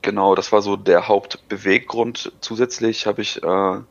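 A man in his thirties answering interview questions in a halting way, with many filler sounds. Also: on the low side, little pitch movement, creaky, no echo. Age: 30s. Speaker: podcast guest. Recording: dull dry lo-fi recording.

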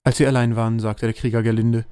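A man's voice: medium pitch, little pitch movement, fast, mellow, smooth, no room sound, studio quality. A man narrating a scripted comic fantasy audio drama as its storyteller.

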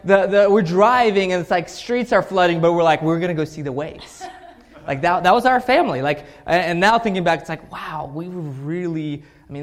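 Preacher, man in his 30s, from Russia, rambling anecdotal delivery, fairly high voice. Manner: halting storytelling with many fillers and restarts. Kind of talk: scripture reading then personal story sermon.

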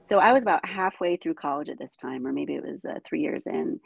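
A middle-aged woman, first raised speaking Ukrainian and from the United States, telling her life story as a guest in animated conversation. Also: sits low, fairly quick, gravelly, breathy edge, no echo. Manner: animated storytelling, frequent "you know". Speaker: guest, dancer.

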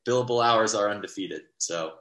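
Young male podcast co-host, rambling sports chatter, bright tone, echoey room. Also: on the low side, normal speed. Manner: rambling, lots of restarts and fillers. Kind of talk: casual sports podcast chat.

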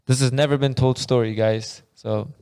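A man's voice: mid-range voice, fairly even intonation, normal speed, a little mellow, smooth, no room sound, clean studio sound. A young man from Afghanistan, co-hosting a podcast in loose, rambling casual chat full of slang like bro and man. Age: young adult. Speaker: podcast co-host.

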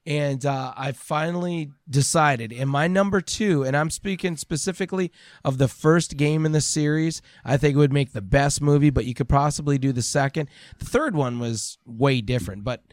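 A man's voice: fairly high pitch, moderate pace, dry recording, clean studio sound. A man co-hosting a podcast, chatting casually about video games and acting out little quotes as he tells stories. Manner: animated storyteller, acts out imagined quotes. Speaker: podcast co-host.

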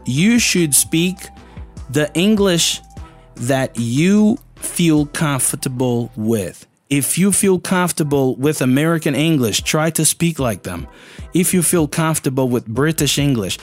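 Brazilian man, native Portuguese, raised-pitch voice, chatty English lesson with faint music underneath. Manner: chatty, fills with "you know" and "man", acts out learners' voices. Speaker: English teacher. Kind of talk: casual video lesson.

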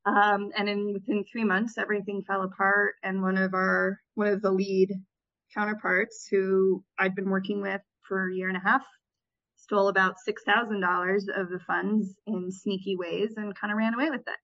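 A woman in her thirties answering interview questions about her volunteer years, thoughtful, pausing and restarting often. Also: mid-range voice, level tone, lo-fi sound. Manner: reflective, with frequent restarts and fillers. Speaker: interview guest, former Peace Corps volunteer.

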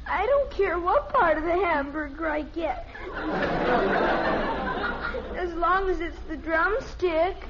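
A woman's voice: extremely high pitch, expressive, a little slow, a loud crowd. An actress playing a small, sensitive, stubborn girl in an old American family radio comedy, with a plaintive, childlike delivery. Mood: playful. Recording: noisy dull old radio recording.

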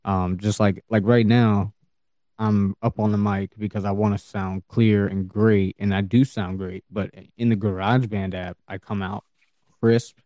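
A man's voice: on the low side, level tone, a little slow, mellow, no echo. A man co-hosting a podcast, talking casually with many fillers and restarts. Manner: laid-back, full of fillers and restarts. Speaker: podcast co-host.

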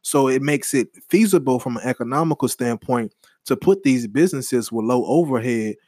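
Young male podcast co-host, casual and profane, lively talk on a dead-dry close mic. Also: medium pitch, normal speed, no room sound. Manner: animated, swearing freely, restarts and rambles. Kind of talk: casual chat.

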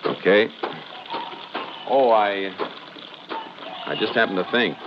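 An actor playing a calm, methodical Texas Ranger in a 1950s radio crime drama, speaking tersely and matter-of-factly. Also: male voice, lowish voice, slow. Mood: businesslike. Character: calm, methodical Texas Ranger lawman narrating his case. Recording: noisy, muffled 1950s radio recording.

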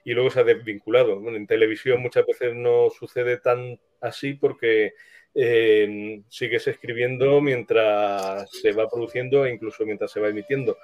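A man from the screenwriting trade talks through his answers. He is wordy and hesitant, pausing to think, at a medium pitch. The recording is slightly dull and lo-fi. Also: fairly even intonation. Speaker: screenwriter being interviewed. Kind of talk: casual interview answers. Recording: dull, lo-fi sound.

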